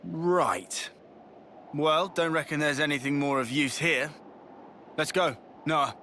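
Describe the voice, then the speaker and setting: A man's voice: a little high, slow, bright, forceful tone, a little hiss or hum. A man playing a blunt, offhand young fighter in a fantasy game, with a colloquial, dismissive way of talking. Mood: matter-of-fact. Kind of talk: English game cutscene voice acting.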